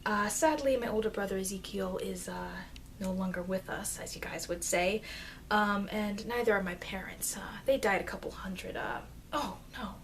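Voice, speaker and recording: A woman playing a chatty, informal immortal vampire teacher in an ASMR roleplay, rambling hesitantly and confidingly, with soft dips into whispering. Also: medium pitch, close to an everyday voice. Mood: wistful, confiding. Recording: noisy amateur microphone.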